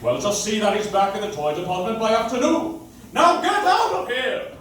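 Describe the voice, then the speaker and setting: Irate, domineering tycoon played by a man, very high, swooping delivery, stage radio drama in an echoing room. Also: wildly swooping pitch, moderate pace, music in the background. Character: irate, bossy department store owner. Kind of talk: live stage radio play. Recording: echoey, distant audience recording with noise.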